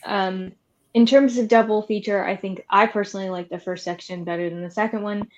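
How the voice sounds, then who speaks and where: A woman's voice: medium pitch, steady tone, a little fast, darkish tone, highs cut off. A woman co-hosting a podcast, chatting casually and informally about horror films with wry, opinionated asides. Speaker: podcast co-host.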